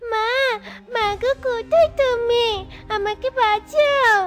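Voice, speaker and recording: A woman's voice: very high voice, slow pace. A woman voicing a frightened, helpless little baby bird pleading in a children's cartoon. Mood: pleading, distressed. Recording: music behind the voice.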